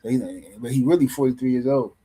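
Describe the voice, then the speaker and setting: A man's voice: medium pitch, fast talker, mellow, breathy edge. A man hosting a boxing livestream in casual commentary, loose and teasing, rambling and repeating words as he reads chat messages. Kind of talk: casual live-stream commentary.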